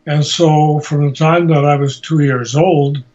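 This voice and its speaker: Halting, slow-spoken man of eighty. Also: a little high, fairly even intonation, darkish tone. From Slovakia and Hungary, later United States. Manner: halting, deliberate, measured, searching for words. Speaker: interviewee, Holocaust survivor. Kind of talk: personal life story told in an interview. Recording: dull, lo-fi amateur recording.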